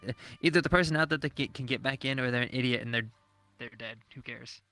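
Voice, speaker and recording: Male roleplayer with a sore throat, rapid and forward-sounding, chatting casually. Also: mid-range voice, sharp tone, no echo, faint music behind. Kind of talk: casual chat, recounting events.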